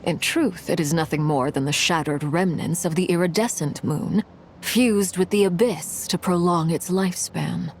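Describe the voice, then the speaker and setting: An actress playing a cold, composed noble commander who speaks in a measured, formal way in a video game. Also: female voice, on the low side, a little mellow, a little hiss or hum, lightly acted.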